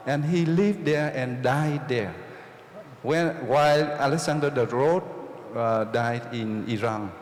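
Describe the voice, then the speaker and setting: A Jesuit priest from Vietnam with a Vietnamese accent lectures a live audience, using simple, repeated phrases and often checking in with them. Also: male voice, medium pitch. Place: oratory hall with a live audience. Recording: roomy hall reverb, faint noise.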